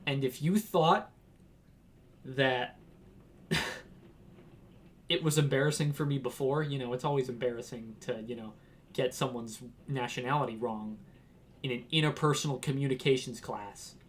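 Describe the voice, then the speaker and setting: Young dude from washington rambling thru an awkward story, laughs a lot, does the voices. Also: male voice, mid-range voice, unhurried, pauses often, slight background noise. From Washington, United States. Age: young adult. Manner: rambling, laughing, acts out quotes in raised voice. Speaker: vlogger. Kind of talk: casual storytelling.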